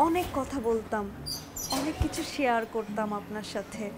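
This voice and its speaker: A woman's voice: on the high side, lightly acted. A young woman with a Bangladeshi accent acting a shy, trusting, simple wife in a TV drama, speaking in a hesitant, confiding way. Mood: affectionate, confiding. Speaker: actress in a TV drama. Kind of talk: naturalistic Bangla TV drama dialogue. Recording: noisy drama location sound.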